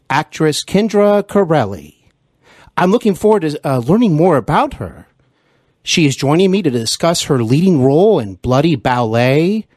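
A man hosting an interview podcast, speaking in a courteous, deliberate and effusive way. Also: on the high side, darkish tone, no room sound, clean studio sound. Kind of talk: scripted introductions and polite interviewing.

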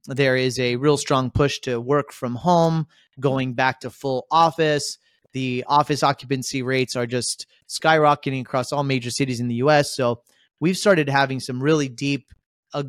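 An upbeat man hosts a casual podcast, his voice smooth and resonant at an ordinary pitch. The sound is dry and close, with no room around it. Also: no room sound. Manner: upbeat, rambling, lots of shout-outs. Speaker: podcast host.

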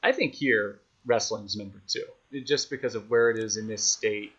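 A man speaking as a radio announcer guest, giving thoughtful, measured answers in a casual conversational interview. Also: lowish voice, fairly bright tone, husky, lo-fi sound.

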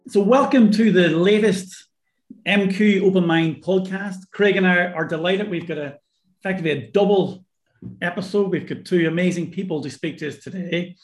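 A hoarse man co-hosting a podcast, welcoming guests and often repeating his words. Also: high-pitched, moderate pace, roomy sound. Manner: warm, repeats words, restarts. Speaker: podcast co-host, psychology professor. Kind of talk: podcast interview.

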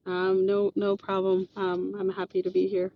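A woman's voice: medium pitch, completely flat delivery, normal speed, vocal fry. A woman from Ethiopia whose first language is Amharic, joining as a guest and speaking hesitantly, with stammers and frequent ums. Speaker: guest caller. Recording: dull lo-fi call with faint noise.

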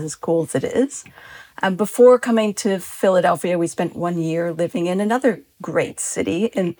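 Upbeat woman podcast guest, lowish voice. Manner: upbeat, warm about her topic, with frequent ums. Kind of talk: casual podcast chat.

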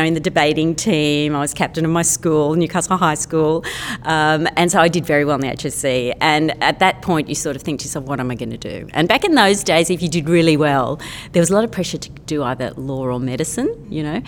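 Chatty woman, low voice. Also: a little fast, long reverb tail, slight background noise. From Australia. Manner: chatty, enthusiastic storyteller with many fillers. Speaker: podcast guest, painter and former lawyer. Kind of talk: casual interview chat.

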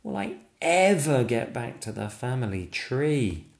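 A man's voice: mid-range voice, sing-song, unhurried, roomy sound. A man voicing a small, brave stick figure who keeps insisting who he is in a sing-song refrain. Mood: indignant.

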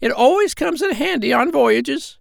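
High shrill know-it-all parrot, a seasoned female sailor, as a male storyteller puts her on. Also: expressive, normal speed, gravelly, dry recording, studio quality, full character voice. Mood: bossy.

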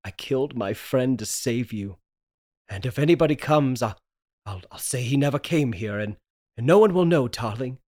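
A man's voice: medium pitch, expressive, moderate pace, darkish tone, natural voice. A man playing a frantic, guilt-ridden husband who dotes on his wife in a melodramatic, pleading horror radio play. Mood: hushed, obsessive. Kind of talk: 1930s horror radio play table read.

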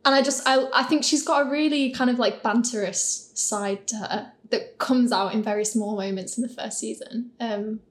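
A woman's voice: fairly high pitch, normal speed, hardly any pauses. A woman on a panel chatting casually and informally, thinking aloud as she answers. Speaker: voice actor on a Q&A panel. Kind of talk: casual group chat.

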